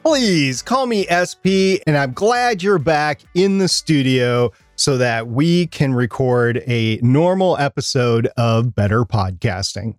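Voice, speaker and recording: A man co-hosting a podcast, talking and reading aloud in an animated, emphatic way. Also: mid-range voice, takes it slowly, few pauses, no room sound, clean studio sound, soft background music. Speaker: podcast co-host. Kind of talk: podcast talk and reading out a listener email.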